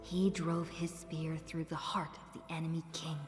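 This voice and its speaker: A woman playing a solemn storyteller in a game, narrating a hero's legend in a measured, grave, theatrical manner. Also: deep voice, little pitch movement, natural voice. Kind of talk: scripted dramatic narration. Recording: echoey hall reverb, loud music behind.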